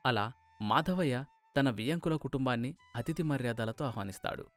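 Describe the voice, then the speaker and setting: A man's voice: mid-range voice, brisk, faint music behind. A man reading scripted narration of a moral tale as a storyteller.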